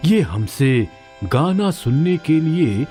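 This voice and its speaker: A man playing a calm, regal king with a measured, lordly manner in a cartoon moral story. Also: a little high, pitched above the performer's own voice. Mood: lordly, stern. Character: calm, regal adult king in disguise, amused, stern. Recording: music under the voice.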